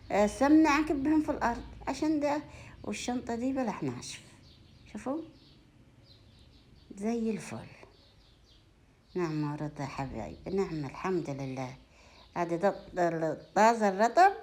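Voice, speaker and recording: A woman's voice: medium pitch, darkish tone. A woman with a Sa'idi accent from Upper Egypt speaks in a mid-range voice. She chats informally to viewers of her home vlog. Her talk circles back on repeated phrases and is full of blessings and affectionate forms of address. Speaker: home vlogger. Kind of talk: casual chat to viewers, showing food gifts.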